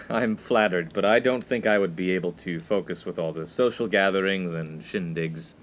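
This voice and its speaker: A man's voice: sits low, moderate pace, slight background noise, lightly acted. A man playing a studious, proper young college freshman in a comic radio play, earnest and easily exasperated. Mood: polite, hesitant. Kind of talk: 1950s-set comic radio play acting.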